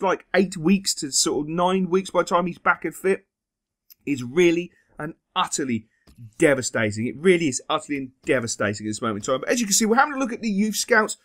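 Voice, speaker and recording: A young man commenting on his own football video game career, emphatic and prone to repeating words for stress. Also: high-pitched. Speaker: gaming video host. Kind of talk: gameplay commentary.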